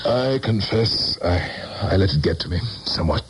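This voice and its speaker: An actor playing a proud, cynical middle-aged politician in a 1970s radio drama, speaking in a measured, self-assured way. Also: male voice, deep voice, animated. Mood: wry, dismissive. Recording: noisy 1970s radio broadcast tape.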